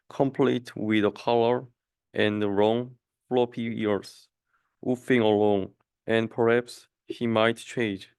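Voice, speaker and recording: Young male student, lowish smooth voice, monotone, slow reading of children's verse. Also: no room sound. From South Korea. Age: young adult. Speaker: university student. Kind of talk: reading a rhyming children's book aloud.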